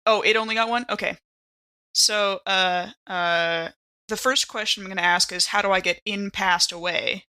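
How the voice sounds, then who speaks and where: A woman chatting casually as a player in a tabletop roleplaying game, with expressive, giggly reactions. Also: medium pitch, moderate pace, clean tone.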